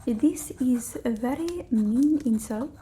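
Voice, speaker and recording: Slow, whispering, melodramatic female vampire. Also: a little high, animated, a little hiss or hum. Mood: pompous, formal. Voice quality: soft, breathy whispering. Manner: theatrical, slow, aggrieved. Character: petulant, melodramatic female vampire from an old village. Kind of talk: ASMR roleplay, mostly whispered.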